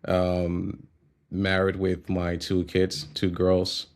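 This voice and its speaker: Deep-voiced man from Liberia, relaxed. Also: very slow. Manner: relaxed, reflective, many fillers and laughs. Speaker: guest. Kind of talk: casual conversational interview.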